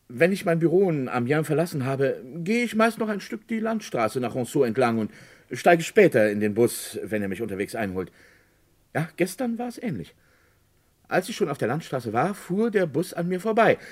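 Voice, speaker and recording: An actor playing a composed, courteous village notary under suspicion in a radio play, speaking politely and deliberately with a husky voice. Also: male voice, mid-range voice. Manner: polite, deliberate, halting explanations.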